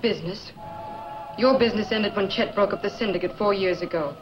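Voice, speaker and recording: A woman's voice: medium pitch, a little fast, lightly acted. An actress playing a poised young woman who questions a blackmailer warily. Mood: guarded. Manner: guarded, probing questions. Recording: dull old film audio with music behind.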